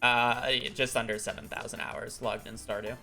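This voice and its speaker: A man's voice: medium pitch, little pitch movement, moderate pace, creaky, pushed and bright, faint music behind. A man talking casually over a live gaming stream, explaining at length with many fillers, his voice congested from a recent illness. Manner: rambling, filler-heavy explanations.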